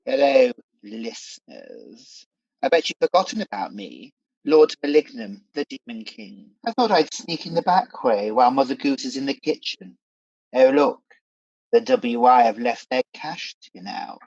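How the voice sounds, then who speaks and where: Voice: mid-range voice, big pitch swings, unhurried, a little mellow, no room sound. An actor playing a scheming pantomime demon king in a radio play, sly, theatrical and coaxing, on a dull recording. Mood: gloating, sly.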